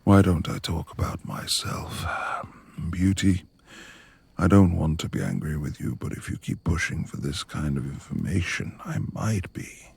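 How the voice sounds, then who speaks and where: A reclusive lord of the manor, acted by a man, speaks in an extremely low rumble thick with gravel. His hushed, husky delivery is formal and halting, a brooding figure with tenderness under the gruffness, made for intimate romance audio roleplay. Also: animated, no echo, exaggerated voice. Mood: irritated, yielding.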